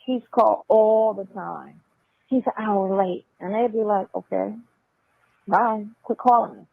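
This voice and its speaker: A woman's voice: medium pitch, measured pace, creaky. A woman chatting casually and bluntly on a phone call, repeating phrases for emphasis. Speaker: phone caller. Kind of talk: casual chat. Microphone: telephone. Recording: tinny, band-limited jail phone line.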